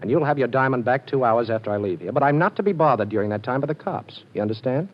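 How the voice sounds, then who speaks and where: An actor playing a cocky wisecracking ex-con sleuth. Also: male voice, medium pitch, animated, fast, natural voice. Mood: mocking. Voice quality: sarcastic, teasing. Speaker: radio drama lead. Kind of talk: 1940s radio acting. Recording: dull lo-fi old radio recording.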